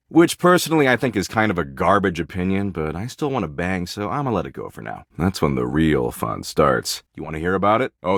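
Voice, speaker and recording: Jaded slacker game character, low-pitched, morbid, snarky, flippant slang, swooping intonation. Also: male voice, fairly bright tone, no echo, studio quality. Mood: snarky, deadpan. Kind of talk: video game character dialogue.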